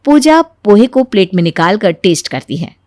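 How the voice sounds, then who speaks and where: A woman's voice: mid-range voice, sing-song, normal speed, a little mellow, no echo. A woman narrates a children's moral story as a scripted read. The sound is dry and close, with no room around the voice.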